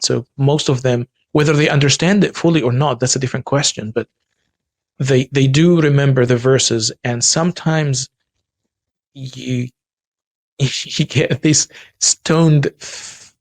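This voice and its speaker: Man, Egyptian accent, native Arabic speaker, gravelly, restarts, dry sound. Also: mid-range voice, no echo. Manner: frequent restarts and repeated words, earnest. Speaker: guest, human rights activist. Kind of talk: interview conversation.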